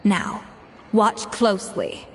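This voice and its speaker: A woman's voice: medium pitch, big pitch swings, slow, background noise. A woman playing a proud, exacting young chef in a video game, speaking in a precise, instructive, self-assured way. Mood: strict, careful. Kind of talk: video game voice acting, teaching dialogue.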